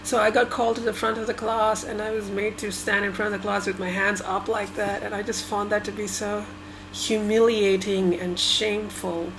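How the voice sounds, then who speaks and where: A woman from Sri Lanka whose first language is Tamil, talking through her thoughts in a halting, hesitant way with many restarts. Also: mid-range voice, level tone, noisy background. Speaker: vlogger. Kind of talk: personal reflective monologue.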